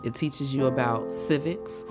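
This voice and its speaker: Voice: mid-range voice, measured pace. Proud parent, heartfelt, mid voice, music playing. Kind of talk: personal testimony.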